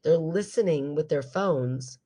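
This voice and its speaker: A woman podcast host with a strikingly low voice for her sex. She chats casually and banters with a co-host, happy to argue a point in a playful way. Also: measured pace, warm tone.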